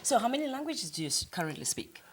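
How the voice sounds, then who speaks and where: A woman's voice: on the high side, big pitch swings, fast, husky. A woman from Zimbabwe hosting a talk show, asking her guest curious questions with light laughter. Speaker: host.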